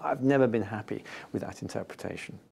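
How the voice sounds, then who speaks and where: A British man in his fifties explaining as an interview guest, enthusiastic and emphatic, with halting phrasing. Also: on the low side, vocal fry, darkish tone, husky. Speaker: interview guest, scientist and author. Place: indoor TV-style studio.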